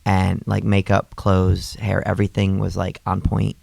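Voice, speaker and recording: A man's voice: low-pitched, completely flat delivery, measured pace, gravelly, darkish tone, breathy edge, dry recording. A young adult man co-hosting a podcast, a comedian and drag performer, chatting casually with many fillers and restarts. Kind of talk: casual chat.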